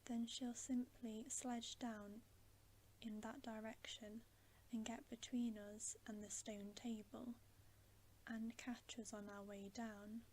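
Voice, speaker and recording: A woman's voice: on the high side, level tone, slight background noise, rough recording. Woman whispering as a grave, wary male storybook beaver. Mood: urgent. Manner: hushed, whispered, deliberate warnings. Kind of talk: whispered ASMR bedtime story reading.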